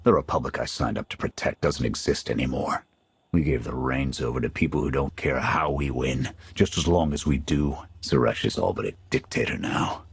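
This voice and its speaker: Deep husky army commander, short clipped orders, game dialogue. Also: male voice, normal speed, no echo, heavily acted. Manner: terse clipped soldier talk. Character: gruff jaded male military commander. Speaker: squad commander. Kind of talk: video game cutscene acting.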